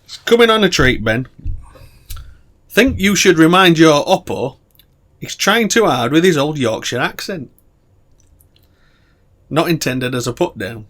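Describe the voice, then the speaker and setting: A middle-aged man, co-hosting a casual podcast and telling crude stories that get loud and laughing as he warms up. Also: on the high side, big pitch swings, a little slow, pauses often. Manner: crude, laughing storyteller, gets loud when worked up. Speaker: podcast co-host. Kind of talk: casual banter.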